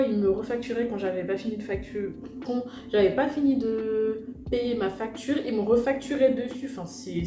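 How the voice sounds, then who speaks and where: A young woman from French Guiana chats through a storytime, slangy and loose, with plenty of fillers and little asides to her viewers. Her voice sits at an ordinary middle pitch. It bounces around an echoey room while loud music plays behind her. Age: young adult. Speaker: vlogger telling a personal story. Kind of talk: casual storytime chat.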